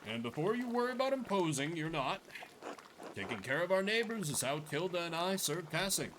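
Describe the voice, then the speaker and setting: A man acts out a kindly former monk in a story game, devoted and gently teasing. His delivery is unhurried yet rises and falls freely, with clear noise behind it. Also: on the high side, big pitch swings, pitched above the performer's own voice. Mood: playful, kindly.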